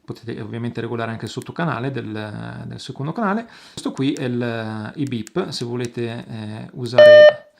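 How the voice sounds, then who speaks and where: A man hosting an outdoor gear review, explaining casually to viewers with halting pauses and repeated words. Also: medium pitch, creaky. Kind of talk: casual product demonstration to viewers.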